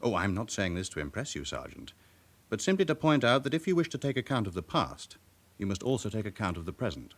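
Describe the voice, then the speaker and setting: A proud, guarded businessman in a radio drama. He speaks low, with wide swings of pitch, in a formal and controlled way that turns faintly haughty. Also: very animated, fast talker. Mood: firm, indignant. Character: smooth respectable businessman with a past, guardedly proud. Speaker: actor in a police drama. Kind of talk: naturalistic radio drama acting.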